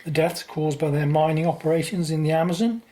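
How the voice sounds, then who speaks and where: A woman's voice: deep voice, a little fast, dark tone, natural voice. A woman playing an earnest, idealistic son in a radio play, brave and self-sacrificing. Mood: concerned.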